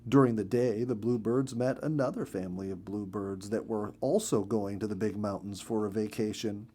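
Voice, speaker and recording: A man reading a children's story as narrator in an expressive, gentle storytelling manner. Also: fairly low pitch, moderate pace, slightly rough.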